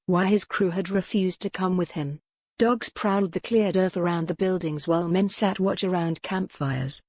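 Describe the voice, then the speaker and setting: A woman's voice: on the low side, a little mellow, no room sound, studio quality. A woman reading scripted dramatic fiction as an audiobook narrator.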